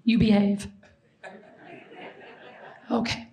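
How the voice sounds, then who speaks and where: A middle-aged woman from Seattle with a hoarse, failing voice, giving a lively history presentation and quizzing an audience. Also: medium pitch, very slow. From Seattle, Washington. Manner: lively, jokes with the audience, asks questions. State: hoarse, losing her voice. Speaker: presenter and flight attendant. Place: large auditorium stage. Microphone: handheld mic.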